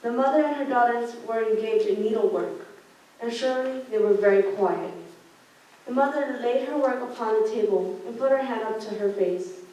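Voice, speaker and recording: Young female narrator, higher voice, halting scripted read of a holiday play, very echoey room. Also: dark tone. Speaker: narrator in a student radio play. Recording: very echoey room, distant microphone.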